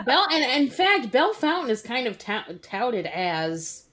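A woman's voice: fairly high pitch, sing-song, slow pace, a bit bright. A woman podcast co-host in casual chat, tossing in playful, joking remarks.

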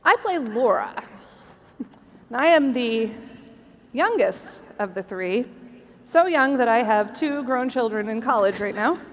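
A woman's voice: a little high, expressive, unhurried, long pauses, slight background noise. A woman hosting a live stage show, delivering scripted, joking material to a convention hall. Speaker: podcast host on stage. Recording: live hall with long reverb.

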